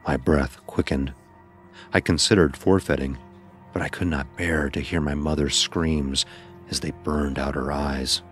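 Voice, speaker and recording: Casting: male actor as anguished youth narrating dark fantasy horror, extremely low voice, grave and deliberate, music underneath. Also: fairly even intonation. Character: anguished blind youth recounting a deadly ordeal. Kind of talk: scripted first-person horror narration.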